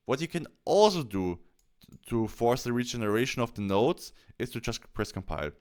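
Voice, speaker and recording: Clear-voiced man narrating a software tutorial. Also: mid-range voice. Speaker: tutorial presenter.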